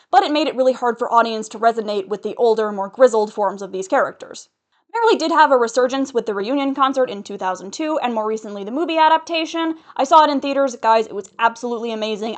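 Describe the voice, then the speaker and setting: A woman's voice: a little high, fast, no echo. A woman narrating a scripted recap of a musical, chatty with asides to viewers, her voice a little off from a recent flu. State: getting over the flu, voice a bit off. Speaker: host summarizing a musical.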